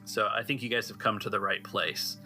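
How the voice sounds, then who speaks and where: A man's voice: fairly low pitch, steady tone, a little fast, pushed and bright, faint music behind. A man working as game master, narrating a tabletop game in a conversational, improvised way. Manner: conversational, improvised scene-setting.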